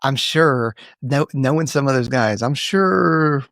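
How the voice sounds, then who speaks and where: A man hosting a casual interview podcast, talking in a relaxed conversational style. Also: medium pitch, slow, vocal fry, dry recording, studio quality. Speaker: podcast host.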